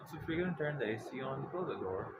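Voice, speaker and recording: A woman's voice: extremely low pitch, expressive, racing, very noisy. A woman co-commentating casually on a game stream, terse and drily teasing. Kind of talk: casual chat.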